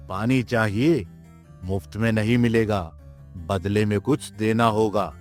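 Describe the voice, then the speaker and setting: A man's voice: lowish voice, takes it slowly, faint music behind. A man voicing an arrogant, greedy village landlord in a Hindi moral-story cartoon, haughty and demanding. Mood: mocking.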